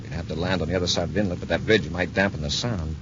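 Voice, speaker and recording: A dull, noisy fifties radio recording carries an actor's performance of a male space patrol commander. He is low-pitched and brisk, giving orders with businesslike authority and quick decision. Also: fairly even intonation, natural voice. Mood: thoughtful, planning. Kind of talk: 1950s radio drama acting.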